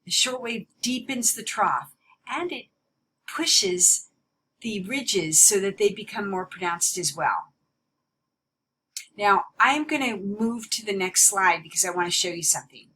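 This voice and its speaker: A woman giving an explanatory slide lecture as a teacher, stressing key words in a deliberate way. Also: medium pitch, animated, bright, forceful tone, slight background noise.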